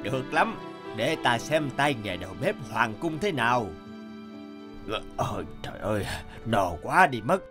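A man voicing a gluttonous, hot-tempered fat king in an animated folk tale, imperious and blustering, with music behind. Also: medium pitch, a little slow. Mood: greedy.

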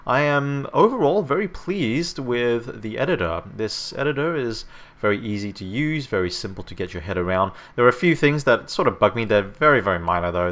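A man with a stuffy hay-fever voice, talking through a game-art tutorial while he works, rambling and correcting himself often. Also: fairly low pitch. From Australia. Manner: rambling, self-correcting, frequent "okay?" and "um". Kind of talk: screen-recorded tutorial narration. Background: soft background music.

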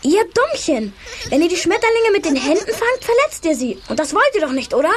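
A woman's voice: a little fast, a little hiss or hum. A woman voicing a cheeky, scatterbrained little male sprite in a children's audio play, chirpy and childlike. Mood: chirpy, busy. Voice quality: high, childlike, squeaky. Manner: chirpy, bossy, animated.

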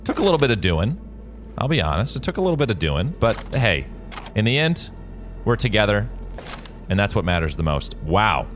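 Low, lively American male gamer. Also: a little fast, pauses often, slight background noise. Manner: jokey, slangy, talking to the game. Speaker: gaming video host. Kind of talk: casual gameplay commentary. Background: game music and vehicle sounds.

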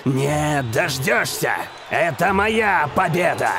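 A man's voice: fairly high pitch, animated, slow, creaky, music in the background, full character voice. A man playing an arrogant, hulking star striker villain in a dubbed cartoon, gloating and boastful. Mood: defiant.

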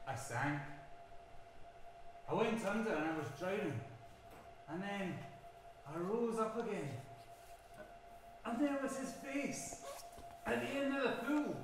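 A man's voice: sits high, lively intonation, takes it slowly, pauses often. A man telling a childhood story in a reflective, slightly theatrical monologue. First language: pidgin English. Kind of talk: monologue answering an interviewer. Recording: echoey, distant, noisy.

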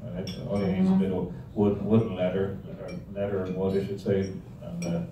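A man's voice: low-pitched, little pitch movement, brisk, dark tone. An Irish man in his sixties giving a wry, rambling, humorous history talk to a club. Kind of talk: humorous informal history lecture with slides. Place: indoor hall with projection screen. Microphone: handheld or stand microphone, recorded from audience camera. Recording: echoey room, distant mic, noisy background.

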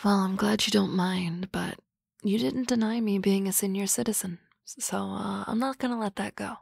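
A woman voicing a sardonic, teasing office-lady boss in an ASMR roleplay, with dry banter and soft whispery asides on a close mic. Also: medium pitch, fairly even intonation, normal speed, vocal fry, breathy edge, no room sound.

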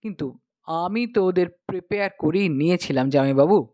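A woman's voice: fairly low pitch, normal speed, dark tone, dry recording, lo-fi sound, pitched below the performer's own voice, natural voice. Woman playing a smug, breezy uncle. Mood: nonchalant, smug. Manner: smug, casual, mixes English into speech. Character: breezy, unflappable young uncle, self-assured and logical.

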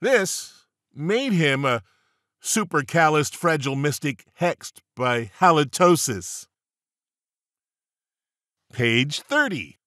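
A man's voice: fairly high pitch, lively intonation, takes it slowly, pauses often, pushed and bright, no room sound, studio quality. A man narrating an audiobook of jokes, reading page numbers aloud and landing each punchline playfully.

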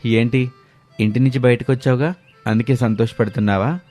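A man's voice: medium pitch, steady tone, a little fast, soft background music, close to an everyday voice. A man playing a caring, placating young Telugu husband and dutiful son. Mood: affectionate. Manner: gentle, placating.

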